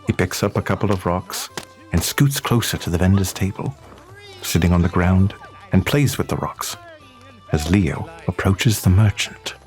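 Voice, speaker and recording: Male narrator for an audio drama, lowish voice, scripted storytelling, music behind. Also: moderate pace.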